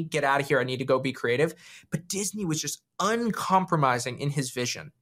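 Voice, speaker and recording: Male, fairly high voice, earnest, emphatic, reflective interview storytelling, stretched key words. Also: a bit bright. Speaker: podcast guest, writer and founder.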